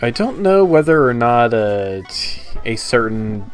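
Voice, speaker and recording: A man giving casual, halting commentary on a video game, his phrases often trailing off. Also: mid-range voice, very animated. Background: video game music.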